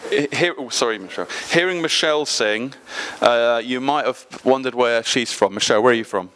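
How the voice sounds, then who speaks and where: A man's voice: mid-range voice, a little fast, a bit bright, clear, resonant tone. A man leading a church service, who interviews guests in front of the congregation in an upbeat, cheerful way and laughs easily.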